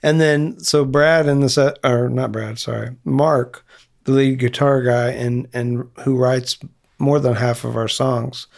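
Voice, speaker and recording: Gravelly fifty-year-old man, rambling. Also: mid-range voice, steady tone, unhurried, a little mellow. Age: 50s. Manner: rambling, lots of fillers and restarts. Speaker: guest musician, singer in a band. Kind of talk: casual interview conversation. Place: recording studio.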